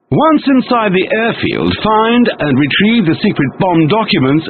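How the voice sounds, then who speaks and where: An instructive military briefing officer in a war game, heard through a tinny, narrow radio filter with faint noise. His voice is fairly high and swings widely in pitch as he gives clipped, orderly instructions.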